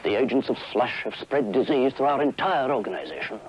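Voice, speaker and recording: A man's voice: mid-range voice, lively intonation, brisk, slight background noise, close to an everyday voice. An actor playing a grave, pompous company chairman in a mock-serious old commercial, speaking solemnly and formally. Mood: grave, urgent. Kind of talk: mock-serious vintage TV or radio ad acting. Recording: muffled old commercial with music, replayed.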